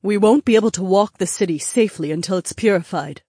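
A solemn young princess priestess from a fantasy audiobook, played by a man. The voice is husky and breathy, soft and earnest, with careful phrasing and a dry, close sound. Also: sits high, fast, no room sound, pitched above the performer's own voice.

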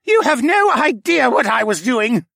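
Furious beast-man, raised pitch, swooping, accusing, defiant, fantasy audiobook character. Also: sing-song, measured pace, creaky, a bit bright, dry recording, studio quality, exaggerated voice. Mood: furious, frenzied. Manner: spitting, accusing, frenzied.

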